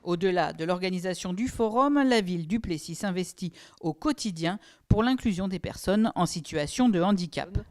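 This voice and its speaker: A woman's voice: lowish voice, animated, moderate pace, few pauses, darkish tone. A woman reading a scripted voice-over for a local news report, as a reporter.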